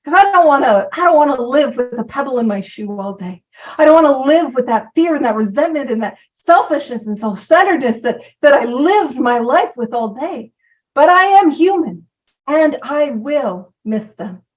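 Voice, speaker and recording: Woman, high pitch, informal recovery talk, chatty, wanders off topic, mic at a distance. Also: lively intonation, moderate pace, muffled recording. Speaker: recovery program speaker.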